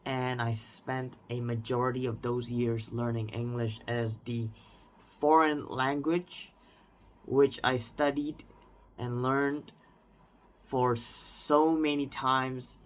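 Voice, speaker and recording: Man, 20s, Indonesian accent, reflective unscripted monologue, hedging restarts, middle pitch. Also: fairly bright tone, slight background noise. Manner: reflective, with frequent restarts and hedges like "I would say". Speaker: vlogger.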